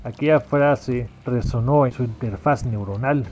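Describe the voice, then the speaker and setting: A man's voice: mid-range voice, unhurried, darkish tone, faint music behind. A man reading scripted narration for a sci-fi noir audio drama. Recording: clear background noise, ambient sound effects.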